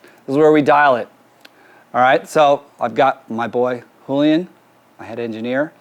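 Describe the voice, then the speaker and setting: A man's voice: mid-range voice, moderate pace, lo-fi sound. A man working as a music producer gives a casual hands-on tutorial, handing out informal instructions to his crew in a drum studio. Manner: informal, directive. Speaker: music producer giving a mixing demonstration. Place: recording studio with drum kit and PA.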